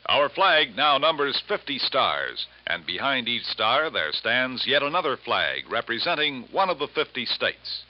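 A man reading formal scripted narration as a radio announcer, with measured, stately delivery. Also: mid-range voice. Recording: muffled vintage radio recording.

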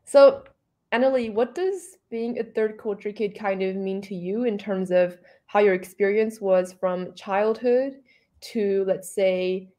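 A woman's voice: medium pitch, a little slow, mellow. A woman hosting and moderating an online talk, asking questions in a friendly, polite way. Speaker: host and moderator.

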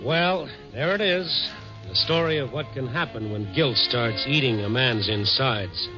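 An actor playing a steady, wry newspaper reporter in a 1950s radio drama, speaking in a measured, hard-boiled way. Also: male voice, mid-range voice, loud music behind the voice, natural voice. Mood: reflective, wry. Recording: dull vintage radio broadcast recording.

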